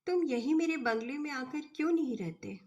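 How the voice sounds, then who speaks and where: A woman's voice: high-pitched, lively intonation, moderate pace, a little mellow, muffled recording. A woman reading the storybook dialogue of an independent woman in love, direct and questioning. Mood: earnest, questioning. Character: independent, searching woman in love, proposing commitment.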